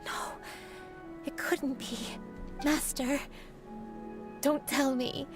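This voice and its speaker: A woman voicing an earnest, remorseful young crane disciple in a video game, solemn, reflective and halting. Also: sits high, steady tone, music in the background. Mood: shaken disbelief. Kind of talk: dramatic video game voice acting.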